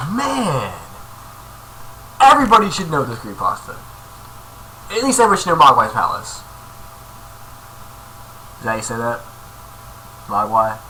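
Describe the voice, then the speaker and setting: A man's voice: mid-range voice, bright, forceful tone. A man casually reading a horror story aloud, stumbling over words and adding jokey asides. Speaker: creepypasta reader, video host. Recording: noisy amateur home recording.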